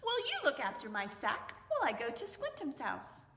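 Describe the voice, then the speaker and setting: A woman's voice: sits high, huge pitch swings, a bit bright, heavily acted. Woman as a sly, polite fox trickster, echoey room. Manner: courteous, firm, deliberately drawn-out warnings.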